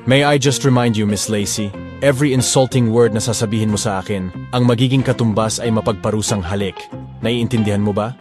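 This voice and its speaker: A man's voice: fairly even intonation, a little fast, lightly acted. A man playing a cocky young male bodyguard who teases and commands, speaking in a smug, controlled way over background music. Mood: stern. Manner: smug, teasing, low and controlled. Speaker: male lead of an audio drama.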